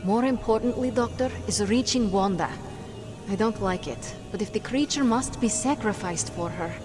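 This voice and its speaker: A woman's voice: medium pitch, moderate pace, lightly acted. A woman playing a stern, protective warrior in a video game cutscene, speaking bluntly and formally. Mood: disapproving. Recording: loud game music behind.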